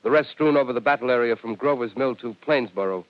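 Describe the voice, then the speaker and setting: A man voicing a solemn announcer in an old radio play, formal and weighty. The voice is mid-pitched and the recording is muffled. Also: fast talker, lightly acted. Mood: grave. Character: solemn male official making grave announcement. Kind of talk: 1930s radio drama acting.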